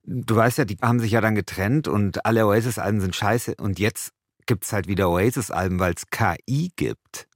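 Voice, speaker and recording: A man's voice: fairly low pitch, moderate pace. A man talking casually and unscripted as a co-host in a discussion. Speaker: podcast co-host and radio play writer.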